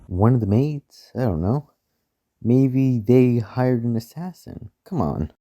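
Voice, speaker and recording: A man's voice: mid-range voice, very animated, slow, warm tone, heavily acted. A man playing a smug, arrogant, menacing rich mansion owner with a haughty, sneering delivery in an amateur radio play. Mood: defensive.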